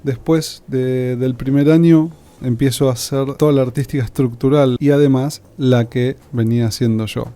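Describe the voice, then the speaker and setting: A man's voice: mid-range voice, fairly even intonation, moderate pace, hardly any pauses, mellow. A man with an Argentine accent reminiscing in an interview about his radio work. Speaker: radio scriptwriter.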